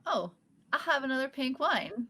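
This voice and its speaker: A quirky, chatty young woman, earnest and a bit goofy, as a woman reads her in a sitcom table read. Her voice sits a touch high, and her delivery is casual and unpolished. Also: animated, moderate pace, pushed and bright, slight background noise. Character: quirky, upbeat young woman nursing a breakup. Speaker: podcast host reading a part.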